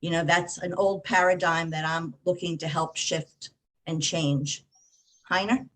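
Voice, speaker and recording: Lowish-voiced woman thinking out loud, calm and measured. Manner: reflective, measured. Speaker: discussion participant. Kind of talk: casual group discussion.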